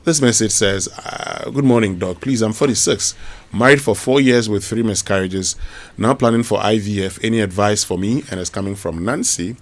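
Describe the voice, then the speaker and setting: A man with a Ghanaian English accent hosting a live morning radio show, reading sponsor ads briskly and then listener questions with fillers. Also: on the low side. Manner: brisk promotional delivery with fillers.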